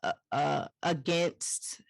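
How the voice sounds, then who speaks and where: A woman with a deep voice, a singer-songwriter guest talking in a relaxed, rambling way on a podcast. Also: drawn-out words, gravelly, no room sound. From family from Jamaica. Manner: relaxed, rambling, laughs easily. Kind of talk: casual interview chat.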